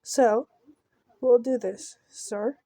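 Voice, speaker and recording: A woman voicing a menacing, obsessive male killer from a horror visual novel, reading his threats haltingly. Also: a little high, steady tone, mellow, dry recording. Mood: threatening.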